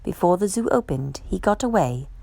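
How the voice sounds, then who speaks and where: Woman, storytime reader, lowish pitch, creaky edges, expressive children's read-aloud, dry close sound. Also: no echo. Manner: expressive, measured storytelling delivery.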